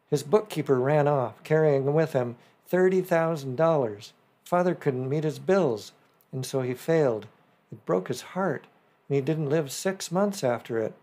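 A man's voice: mid-range voice, a little mellow, lightly acted. A man voicing a shrewd, polite fifteen-year-old newsboy who talks eagerly and respectfully. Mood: bitter.

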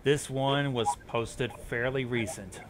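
A man's voice: medium pitch, steady tone, takes it slowly, background noise. A man hosting a reaction show, reading on-screen text aloud and adding commentary.

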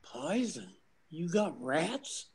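An older woman playing a kindly, protective shopkeeper with a husky voice in an amateur radio drama. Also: sits low, sing-song, very slow, a little mellow, full character voice. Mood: surprised, curious.

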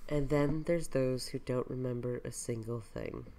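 A dubber voicing a brooding, scheming man who muses slowly and quietly over his plans in a comic dub. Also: mid-range voice, natural voice, rough recording. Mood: musing, ominous.

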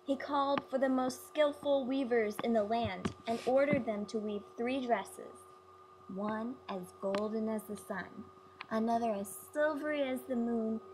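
A woman reads a fairy tale aloud in storybook style. Her voice sits a little high, and there is faint noise behind her on the recording. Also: darkish tone, low-quality recording. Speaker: storybook reader.

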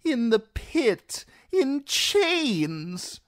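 A man voicing a fawning male seneschal with a shrill, whining voice. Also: huge pitch swings, slow pace, dry recording, studio quality, full character voice. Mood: pleading.

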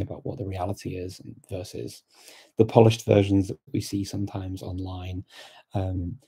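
Gravelly dark-voiced middle-aged man, webcam chat. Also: lowish voice. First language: Dutch. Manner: thoughtful, with frequent ums and repeated words. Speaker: language learning channel host. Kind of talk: casual live chat answering viewer questions. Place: small indoor room with a plain wall. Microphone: webcam at desk, close framing.

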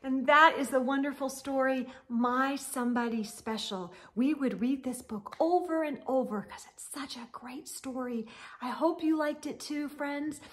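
A middle-aged woman hosting a children's storytime, reading aloud expressively with drawn-out words and gentle asides. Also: high-pitched, slight background noise. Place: indoor room lined with bookshelves.

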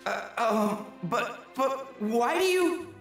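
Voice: mid-range voice, lively intonation, slow, gravelly, heavy reverb, distant mic. An actor playing an earnest, polite and nervous young male alien guardian in an anime video game. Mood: flustered. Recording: music under the voice.

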